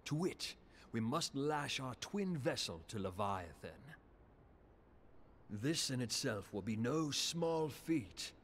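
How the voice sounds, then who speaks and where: An actor playing a shrewd, deferential military marshal in a fantasy game, speaking formally, deliberately and courteously. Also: male voice, mid-range voice, very animated, a bit bright, slight background noise, full character voice. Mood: courteous, assured. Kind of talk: fantasy video game cutscene acting.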